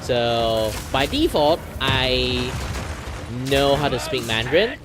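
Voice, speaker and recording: A man's voice: mid-range voice, sing-song, slow. A young man from Malaysia with a Malaysian accent, chatting casually as a streamer, rambling with lots of fillers and loose English grammar. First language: Hakka. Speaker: VTuber streamer. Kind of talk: casual chat answering viewer questions. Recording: music playing loudly behind.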